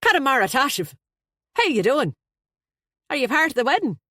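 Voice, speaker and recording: Woman voicing a hearty, loud Irish best man. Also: high voice, big pitch swings, moderate pace, no room sound, studio quality, pitched above the performer's own voice. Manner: hearty, slangy, emphatic. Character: big jovial Irish best man, chatty and superstitious.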